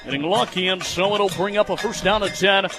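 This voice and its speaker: A young American man in his twenties doing live football radio play-by-play, excitable and quick to raise his voice on plays. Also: high voice, over music. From United States, Michigan. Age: 20s.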